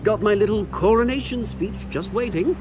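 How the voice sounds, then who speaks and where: A man's voice: high voice, moderate pace, lightly acted. An actor playing a smug, gloating male usurper with false politeness in a video game drama. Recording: music behind the voice. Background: music.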